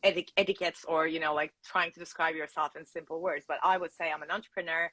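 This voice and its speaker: A woman's voice: sits low, fairly even intonation, fast talker, a bit bright, poor audio. A woman from Turkey with a Turkish accent, a content creator and coach chatting on a video call, laughing often. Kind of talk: casual interview chat.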